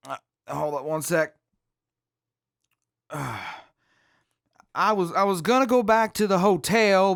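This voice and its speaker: A man playing a drunk, sheepish, good-natured crewman in an audio drama, rambling haltingly with slurred speech. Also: sits high, fairly bright tone, no room sound, studio quality, full character voice.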